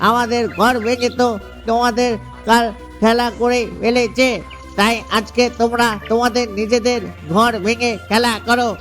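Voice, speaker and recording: Father gander for a cartoon, male actor: wary husband, unusually high, little pitch movement. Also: level tone, moderate pace, fairly bright tone, soft background music. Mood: resolved, wily. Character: worried father gander, cautious, scolding, wily. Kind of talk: cartoon story voice acting.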